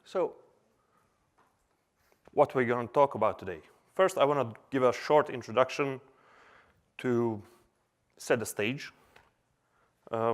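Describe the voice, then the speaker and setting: A man in his thirties from Serbia talks to a conference crowd in an easygoing, chatty way, with plenty of fillers. His voice sits at an ordinary middle pitch. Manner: casual, chatty with filler words. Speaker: conference speaker. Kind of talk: conference talk. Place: conference hall.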